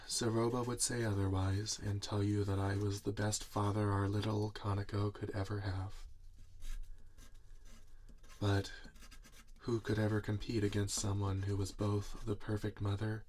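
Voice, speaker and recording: A man playing a gentle, weary inventor and doting father in a soft-spoken ASMR roleplay, musing tenderly to his robot. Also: lowish voice, little pitch movement, slight background noise. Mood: wistful, regretful. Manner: slow, tender, musing, talking to a robot listener.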